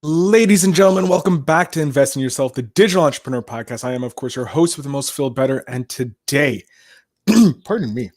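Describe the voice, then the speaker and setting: A man's voice: mid-range voice, lively intonation, a little fast, darkish tone, dry recording, clean studio sound. A man hosting an interview podcast in an enthusiastic, self-deprecating way. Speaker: podcast host.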